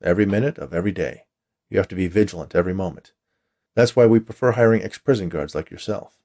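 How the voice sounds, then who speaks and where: A man reads as a seasoned minder in an audio short story, low-voiced and creaky. He explains the job in a plain, matter-of-fact way to a newcomer. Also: quick pace, warm tone. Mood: briskly instructing. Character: matter-of-fact veteran watcher training a new hire.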